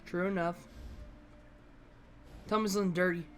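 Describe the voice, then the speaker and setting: A woman giving casual let's play commentary on a game, low-key and musing aloud. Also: fairly even intonation, moderate pace, a little hiss or hum. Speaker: gamer narrating a playthrough. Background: faint game music.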